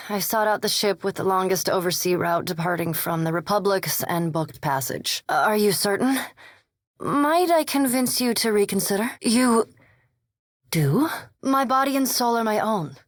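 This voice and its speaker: A reserved vampire scholar played by an actress speaks in a medium-pitched woman's voice, choosing words with formal precision. The game dialogue is measured and coolly polite, recorded close and dry with no room sound. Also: lively intonation, dry recording, lightly acted. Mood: earnest, reserved. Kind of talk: video game character dialogue.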